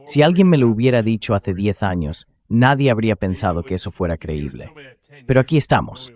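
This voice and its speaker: A low male AI voice delivers political analysis as a translated voice-over. The delivery is that of read-out commentary, with a slightly deep pitch. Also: sing-song, a little fast, darkish tone. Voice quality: synthetic AI voice. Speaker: interview guest analyst, dubbed translation.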